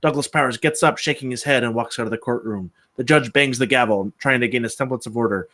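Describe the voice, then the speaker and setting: A man's voice: medium pitch, quick pace, pushed and bright, dry recording. A man reading out stage directions as narrator at a screenplay table read.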